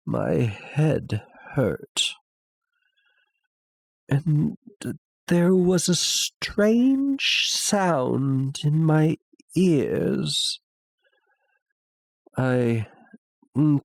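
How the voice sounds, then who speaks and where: Gothic audiobook: a mad, tormented killer confessing. Theatrical, highly animated intonation, long halting pauses, dry close sound. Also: male voice, no echo, full character voice. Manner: halting, theatrical, hushed to crying out. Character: guilt-ridden mad male murderer, agitated, confessing. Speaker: narrator. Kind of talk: dramatic reading of a gothic horror tale.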